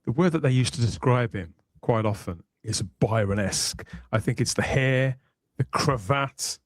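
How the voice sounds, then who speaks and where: A man's voice: medium pitch, normal speed, dry recording. Chatty male podcast host, close dry sound. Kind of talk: casual chat, presenting and introducing panel guests.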